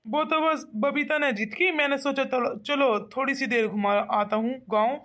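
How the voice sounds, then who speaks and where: Lady doing a polite young guy in a cartoon, highish voice, mild and obedient. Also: moderate pace, fairly bright tone. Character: mild, obedient young man, a dutiful son. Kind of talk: amateur cartoon comedy skit.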